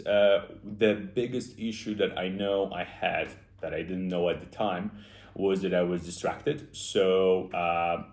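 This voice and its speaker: A young man with a Polish accent and a very deep voice speaks earnestly and off the cuff, pausing often on "uh". His voice rings in a roomy, echoey space. He sounds reflective as he reasons things through aloud. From Poland. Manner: earnest, reflective, with frequent "uh" fillers. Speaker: self-improvement YouTuber. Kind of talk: unscripted motivational monologue. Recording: echoey room.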